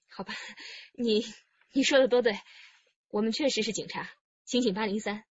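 A woman's voice: fairly high pitch, measured pace, highs cut off, close to an everyday voice. An actress playing a direct, questioning female police detective in a radio drama. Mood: probing.

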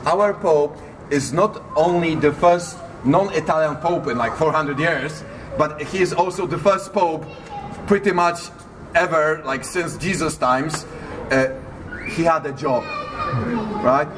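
A man in his thirties with a Polish accent, telling jokey, animated stories as a tour guide outdoors in an old town square. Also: a little high, measured pace. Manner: jokey, animated, acts out quotes. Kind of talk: walking tour storytelling. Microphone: far camera. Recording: distant voice with loud street noise.

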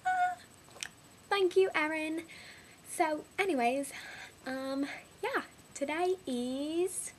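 A high-pitched young woman chats in a put-on British accent. She is excitable and gushing, rambling casually as a vlogger. Clear noise runs through the recording. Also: slow pace, long pauses. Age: young adult. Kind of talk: casual video diary.

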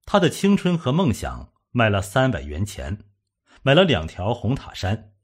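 A man narrates a suspense audiobook from a script. His voice sits fairly low and has a rough, husky grain. The intonation moves up and down in an animated way as he reads. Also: measured pace.

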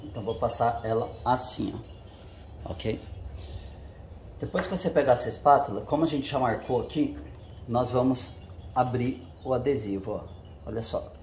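A man walks his viewers through a hands-on job one step at a time, pausing often to check that they are following. His voice sits at an ordinary pitch. It rings off the bare walls of a garage, over a faint background hum. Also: darkish tone. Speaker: channel host. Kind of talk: casual how-to demonstration. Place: home garage with the door open. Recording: echoey garage.

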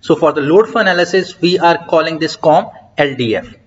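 A man giving a step-by-step software tutorial over a screen recording, talking in an explanatory way with frequent hesitant fillers. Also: fairly high pitch, animated, fairly quick. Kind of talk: screen-recorded coding walkthrough. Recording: dull lo-fi mic.

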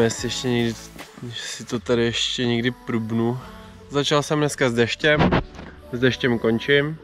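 A young Czech man, a fishing vlogger, gives laid-back, self-mocking commentary to himself while spin fishing from a belly boat on a lake, recorded on a body-worn action camera. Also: medium pitch. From Czech Republic. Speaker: angler vlogger.

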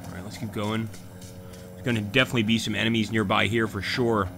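A man's voice: fairly low pitch, little pitch movement, quick pace, vocal fry. A man hosting a gaming channel, talking casually over gameplay and answering live chat comments.

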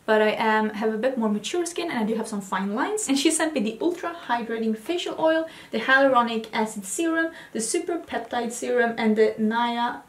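A woman's voice: on the high side, animated, moderate pace, few pauses, reverberant. A woman in her thirties from Sweden with a Swedish accent, chatting enthusiastically in a beauty haul video at home, often restarting words. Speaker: beauty vlogger. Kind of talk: casual haul video talk. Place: home room with vanity lights.

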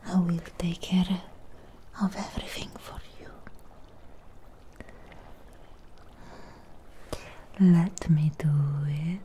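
A woman playing a possessive, adoring Frankenstein's creature girl in a soft ASMR roleplay, whispering breathily and tenderly up close. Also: on the low side, fairly even intonation, a little hiss or hum, lightly acted. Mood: tender, reassuring. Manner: tender, slow, deliberate, halting short phrases. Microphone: close ASMR microphone.

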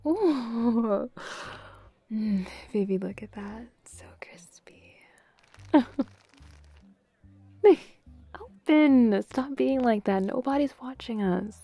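A woman voicing a bubbly, playful, affectionate young girlfriend in an intimate audio roleplay, with a giggly, breathy, coaxing manner. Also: fairly high pitch, mellow, dry recording, heavily acted. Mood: giddy, teasing.

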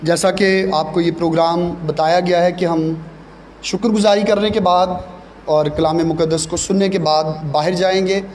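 A man with a Pakistani accent, formally hosting a church programme in measured, courteous phrases. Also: sits high, steady tone, a little mellow. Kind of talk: formal announcing at a church service. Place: church gathering, live audience. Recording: noisy live event recording.

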